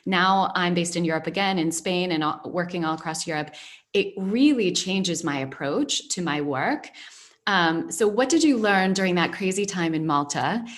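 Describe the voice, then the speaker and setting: A woman with a fairly low voice hosts a relaxed conversation. She talks in a reflective, storytelling way, pausing often with soft fillers. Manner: reflective, storytelling, frequent "um" pauses. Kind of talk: casual interview conversation. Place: based in Spain.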